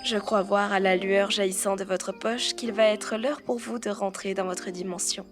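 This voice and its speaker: A woman voices the stern mistress of a manor's staff in a roleplay audio drama. Her voice sits at a middle pitch, with formal, haughty phrasing and firm authority. Music plays clearly behind her. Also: fairly even intonation, normal speed, natural voice. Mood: courteous, composed. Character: stern, refined female head of manor staff.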